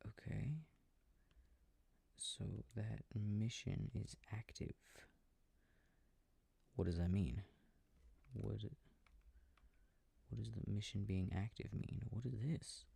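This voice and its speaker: A low, gravelly male actor's take on a boyfriend murmurs his way through a video game in an intimate sleep-aid roleplay. He sounds affectionate and easily confused, talking slowly and casually to himself. The recording is close and very dry. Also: steady tone, warm tone, no echo, heavily acted. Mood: puzzled, absorbed. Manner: muttering to himself, hesitant, casual. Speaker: audio roleplay performer. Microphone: close microphone, dry. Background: controller clicking sounds.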